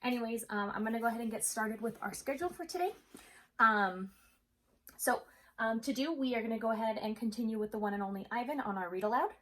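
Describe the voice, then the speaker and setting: A woman speaking as an elementary school teacher in a video lesson for children, chatty and expressive as she talks and reads aloud. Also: a little high, fast. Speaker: third grade teacher.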